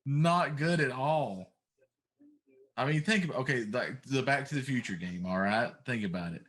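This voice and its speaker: A man's voice: medium pitch, animated, normal speed, a bit bright. A middle-aged man hosting a casual talk show, chatting about games in an emphatic, complaining way.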